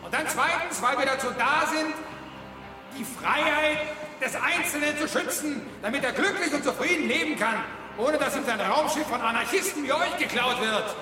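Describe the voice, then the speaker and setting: A man's voice: very high voice, normal speed. A male actor playing a cocky, trigger-happy space cop who poses as a sensitive liberal, speechifying self-righteously in a comic science-fiction radio drama. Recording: echoing hall reverb, music behind.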